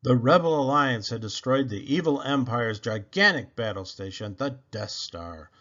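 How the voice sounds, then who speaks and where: A man's voice: medium pitch, expressive, normal speed, a bit bright. A man reading a children's adventure story aloud with dramatic emphasis on key phrases. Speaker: storybook reader. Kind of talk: scripted read-aloud storytelling. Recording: dull, lo-fi sound.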